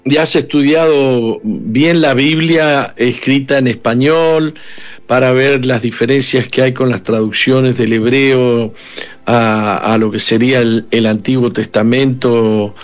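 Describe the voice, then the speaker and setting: A man's voice: medium pitch, steady tone, slow pace, soft background music. A man hosting a radio interview with a Uruguayan Spanish accent, asking long, wandering questions. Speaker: radio host.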